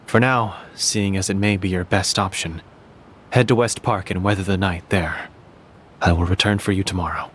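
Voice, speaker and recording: A man's voice: deep voice, steady tone, moderate pace, a bit bright, slight background noise. An actor playing a composed, courteous, secretive man in a video game dub, speaking formally and with measure. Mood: composed, guarded.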